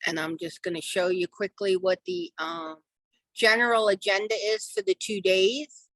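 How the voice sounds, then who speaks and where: A middle-aged woman with a slightly hoarse voice, running a live online training class and talking in a chatty, reassuring way with many ums. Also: mid-range voice, fairly even intonation, moderate pace, fairly bright tone, dry recording. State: losing her voice. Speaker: real estate software trainer. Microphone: video call.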